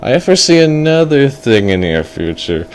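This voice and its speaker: A man voicing a kooky, sinister old fortune teller in a told story, making drawn-out, ominous pronouncements with false kindliness. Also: mid-range voice, sing-song, voice pushed up, lightly acted. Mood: ominous, menacing.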